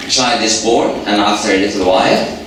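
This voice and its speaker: A man with an Arabic accent, speaking Arabic as his first language, gives a church talk on parenting, speaking slowly and asking the audience questions. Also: lowish voice, far from the mic, noisy background. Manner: slow, repetitive, asks the audience questions. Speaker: lecturer on parenting. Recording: old echoey hall recording from afar.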